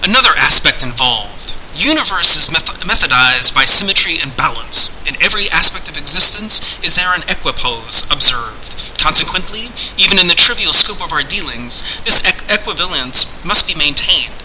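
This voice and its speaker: Man playing a commanding, high-pitched formal sorcerer. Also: expressive, normal speed, few pauses, bright, forceful tone, a little hiss or hum, low-quality recording. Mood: formal, bargaining. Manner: declamatory, formal archaic speech. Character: commanding, unseen sorcerer, courteous and formal, amused.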